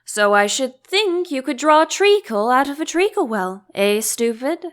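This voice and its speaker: A woman's voice: high voice, sing-song, normal speed. A woman voicing a rude, eccentric male hatter who interrupts bluntly in a storybook reading. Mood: rude, mocking.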